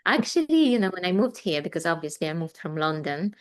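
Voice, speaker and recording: A woman's voice: on the low side, big pitch swings, fast. A woman in her forties from Poland with a Polish accent, chatting in an interview as a guest artist and rambling with fillers. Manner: rambling, warm, frequent fillers and laughs. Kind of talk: casual interview chat.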